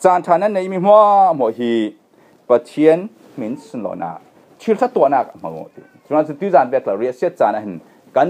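A middle-aged man from Myanmar, preaching a sermon in an impassioned, emphatic way, swinging between loud and quiet. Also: medium pitch, breathy edge. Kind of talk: sermon to a congregation.

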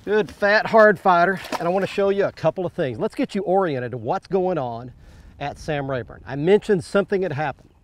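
A middle-aged man hosting a fishing show from a bass boat on a lake, talking in a folksy, enthusiastic way. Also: brisk, slight background noise. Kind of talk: outdoor show presenting.

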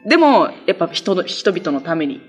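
A woman in her thirties talks in a casual, guest-on-a-show way. Her pitch moves around in a lively fashion, with light music behind her. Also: a little fast, husky. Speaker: guest actress on a talk show. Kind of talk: casual chat. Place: small indoor meeting room. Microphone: desk microphone.

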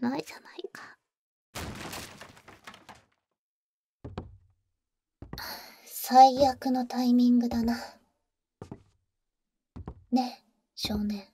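A tender yet bold vampire woman, acted by a voice actress for an ASMR audio drama. Her voice is soft, breathy and dark, often near a whisper. She speaks slowly and protectively, with cool boyish phrasing, very close to the mic. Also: fairly high pitch, no echo. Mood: flustered, tearful. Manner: husky, cool, boyish speech. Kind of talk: Japanese ASMR audio roleplay. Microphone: close studio microphone, dry.

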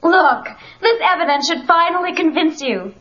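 A woman's voice: extremely high pitch, lively intonation, normal speed, noisy background. A woman playing an earnest, urgent young time-police marshal in a comic-book audio drama, speaking dramatically and emphatically. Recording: dull cassette, music behind.

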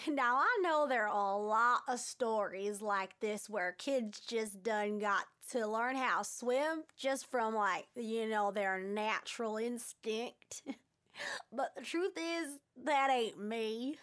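A woman's voice: a little high, slow pace, a bit bright, voice pushed down. A woman playing a folksy, good-humored country girl with a Southern US accent, telling a story. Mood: amused, folksy.

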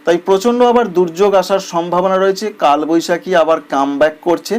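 A man's voice: sits high, fast, darkish tone, smooth. A man presents a weather bulletin in an explanatory, emphatic way, repeating phrases for clarity. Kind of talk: semi-scripted weather bulletin narration.

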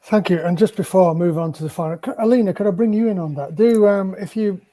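A man's voice: high voice, fast, mellow. A middle-aged man moderating an online panel over a video call, with long, wordy questions. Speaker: panel host. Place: indoor room at home, book-lined.

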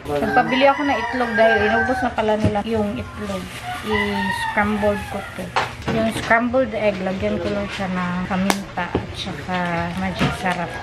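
A woman's voice: mid-range voice, fairly even intonation, normal speed, low-quality recording. A young adult woman with a Filipino accent, chatting casually as a vlogger. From Philippines. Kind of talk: casual vlog chat. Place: home kitchen, indoors, later out travelling.

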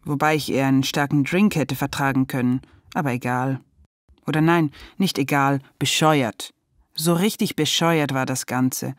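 A woman's voice: very deep voice, steady tone, normal speed, dry recording, clean studio sound. An actress in an audio drama narrating as a sceptical, foul-mouthed young woman. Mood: wry. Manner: sardonic, swears a lot. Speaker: narrator and protagonist.

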